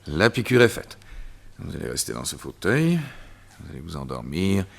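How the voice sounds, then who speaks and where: An actor voicing a gloating, theatrical villain who is a doctor and hypnotist in a vintage radio drama. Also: male voice, sits low, very animated, moderate pace, gravelly, exaggerated voice. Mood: coldly smug. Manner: sneering, theatrical, laughs at his own cruelty.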